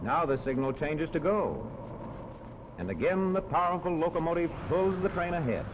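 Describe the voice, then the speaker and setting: A man narrating a 1940s educational film in a measured, instructional manner, on a muffled old soundtrack. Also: on the high side, expressive, background noise. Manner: clear, measured, instructional delivery.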